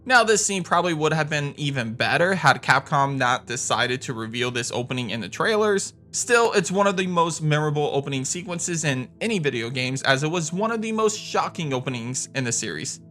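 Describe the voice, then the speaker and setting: A man narrates a gaming countdown in a forceful, bright voice. He is conversational and opinionated, like a host talking to fellow fans. Music plays softly behind him, and his voice sits a little high. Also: lively intonation, fairly quick, few pauses. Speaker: host of a gaming video channel. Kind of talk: video essay countdown narration. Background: background music.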